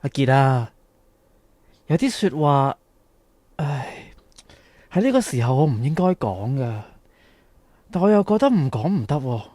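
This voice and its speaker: A man's voice: mid-range voice, very animated, unhurried, pauses often, mellow, dry recording. An actor playing a hesitant, regretful young man in an audio drama, with a Hong Kong Cantonese accent. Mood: hesitant, sombre. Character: hesitant, regretful young male friend confessing.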